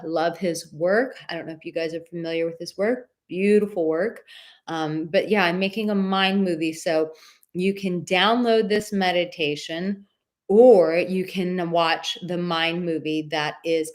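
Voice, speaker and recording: A woman with a slightly low, soothing voice hosts a live advice stream. She chats warmly and affectionately with viewers, reading out their comments with lots of casual filler words. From Czech heritage.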